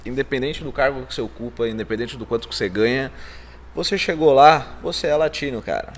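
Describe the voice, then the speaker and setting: A Brazilian man hosts a podcast. He talks very fast at a middle pitch, slangy and joking. Faint background noise sits under his voice. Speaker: podcast host. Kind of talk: casual podcast interview.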